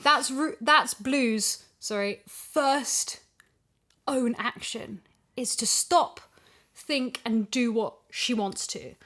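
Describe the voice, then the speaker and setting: A woman's voice: on the high side, slow pace, bright, forceful tone. A woman in a casual discussion, thinking ideas through aloud with thoughtful emphasis. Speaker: audio drama voice actress.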